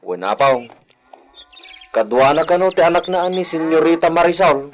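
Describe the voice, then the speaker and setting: A man's voice: a little high, moderate pace, lightly acted. A man with a Filipino accent playing a respectful, earnest younger ranch hand in a radio drama.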